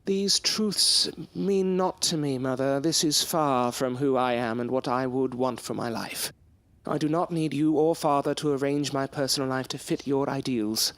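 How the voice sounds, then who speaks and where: An actor playing a headstrong, bookish young gentleman of a past century in an audio drama, speaking formally with old-fashioned diction. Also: medium pitch, normal speed, no echo, close to an everyday voice. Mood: exasperated, defiant. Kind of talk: period audio drama acting.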